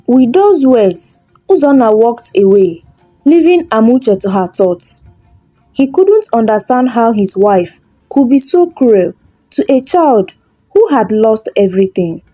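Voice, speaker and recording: Lady reading a folktale, really slow n mellow, pitch goes all over. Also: sing-song, no echo, soft background music, rough recording. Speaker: storyteller. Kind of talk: scripted folktale narration.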